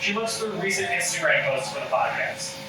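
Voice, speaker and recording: A man's voice: a little high, animated, brisk. A man reading scene directions as scripted narration at a table read. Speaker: stage directions reader. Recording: roomy, distant mic with loud background noise.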